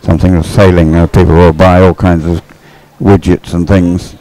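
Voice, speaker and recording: A man's voice: low-pitched, flat delivery, normal speed, dark tone, rough recording. A man giving casual, explanatory live race commentary as a sports commentator.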